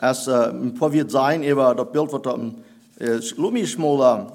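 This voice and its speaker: A man whose first language is Plattdeutsch, preaching a sermon in halting German that he mixes with English phrases. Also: medium pitch, a little mellow. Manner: halting, searching for German words, mixing in English.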